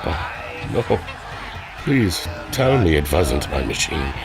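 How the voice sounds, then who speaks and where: An actor playing a weary imprisoned scientist in an adventure audio drama, solemn and measured. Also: male voice, very deep voice, sing-song, music in the background, full character voice. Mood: dreading. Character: grave, weary male scientist held prisoner.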